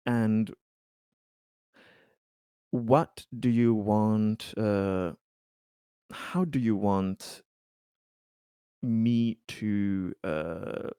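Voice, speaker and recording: Male RPG player, low register, very slow hesitant delivery, improvised roleplay, dry close sound. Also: warm tone, clean tone, no room sound. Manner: hesitant, halting, deliberate phrasing. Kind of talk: improvised in-character dialogue and table talk.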